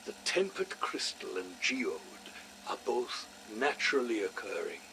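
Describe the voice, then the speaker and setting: A man playing a studious, fascinated scientist dictating research notes for a video game, deliberate and erudite. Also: medium pitch, very animated, creaky, bright, forceful tone, slight background noise, full character voice, rough recording. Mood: intrigued, studious. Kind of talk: scripted video game audio log.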